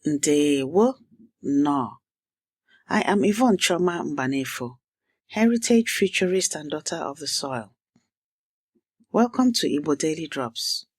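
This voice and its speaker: A woman teaches a language, setting the scene with short, measured story fragments before drilling phrases. Her voice is slightly husky and unhurried. The sound is close and dry, with no room around it. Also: sits low, no echo. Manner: clipped, measured fragments with deliberate pauses; repeats target phrases slowly for learners.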